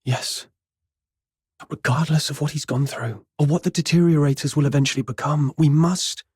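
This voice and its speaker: A determined young hero from a video game, calm and understated. The voice is on the higher side and very husky, recorded dry. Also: male voice, normal speed, no room sound. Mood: resolute. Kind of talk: video game cutscene voice acting.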